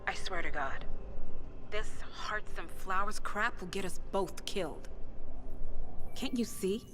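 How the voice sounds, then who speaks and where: An actress playing a hard-headed, exasperated rebel in a game cutscene, sharp and urgent. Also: female voice, mid-range voice, expressive, takes it slowly, music in the background.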